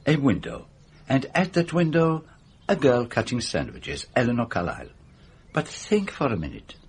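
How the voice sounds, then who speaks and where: An actor playing an older Belgian detective, shrewd and patient, speaking formal, precise English with a Belgian French accent in a radio drama. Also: male voice, mid-range voice, big pitch swings, husky. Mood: probing. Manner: precise, formal, deliberate questioning.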